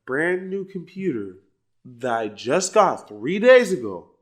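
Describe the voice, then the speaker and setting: A man's voice: a little high, very animated, slow pace. A man playing an incredulous, wry hero who investigates crimes in an audio drama, exclaiming and questioning expressively. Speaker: voice actor.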